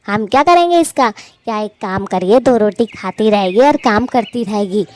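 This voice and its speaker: A woman's voice: mid-range voice, lively intonation, moderate pace, darkish tone, dry recording, lightly acted. Lady doing a scheming mother-in-law bird, bossy and threatening, cartoon voice.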